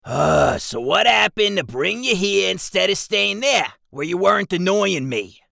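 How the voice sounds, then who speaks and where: Jigsaw, a sarcastic squat goblin. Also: male voice, high voice, lively intonation, a little slow, gravelly, pushed and bright, no echo, pitched above the performer's own voice, exaggerated voice. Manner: dry, sarcastic and irritable. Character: small squat grumpy male goblin.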